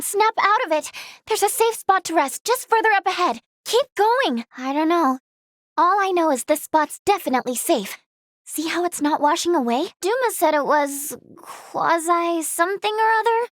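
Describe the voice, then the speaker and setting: A woman playing an eager, kind-hearted young female healer in a fantasy video game, earnest, expressive and girlish in manner. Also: very high voice, dry recording, studio quality. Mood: cheerful, earnest. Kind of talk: video game character acting.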